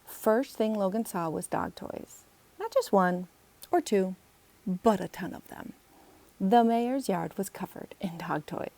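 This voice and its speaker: A woman's voice: mid-range voice, animated, unhurried, a little mellow, no room sound. A woman, the author, reading her children's book aloud as a storybook narrator.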